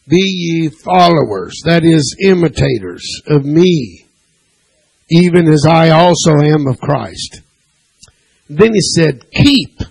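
A man's voice: a little high, vocal fry, husky, poor audio. A man preaching a sermon to his church, dotting his points with "amen" and asking the congregation questions, and reading scripture aloud. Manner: preaching with frequent "amen" and call-and-response questions; reads scripture aloud in King James wording. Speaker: pastor. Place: church sanctuary.

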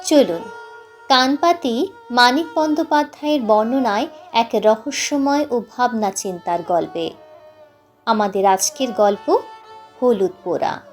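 A woman's voice: fairly high pitch, lively intonation, a little slow, long pauses. A woman reading a scripted literary story as an audiobook narrator, with instrumental music behind her. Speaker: channel host and story narrator.

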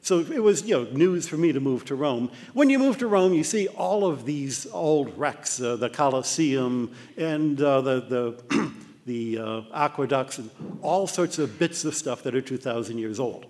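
An older man with an American accent giving an animated illustrated lecture, often putting on mock voices. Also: medium pitch, a little slow. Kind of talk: illustrated talk. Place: dark lecture hall with projector screen. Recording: long hall reverb.

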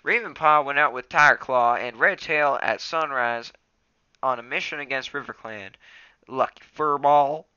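A man's voice: mid-range voice, moderate pace, bright, forceful tone, clear, resonant tone, no echo, pitched above the performer's own voice. A man reading aloud voices an eager, chatty young male apprentice cat, in a dull lo-fi recording.

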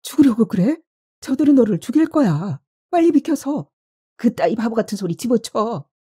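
Desperate young lover played by a woman, urgent and pleading, dark-toned, for a storybook reading. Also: on the low side, steady tone, normal speed, breathy edge, no room sound, clean studio sound. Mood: frantic. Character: desperate young man fearing for his lover.

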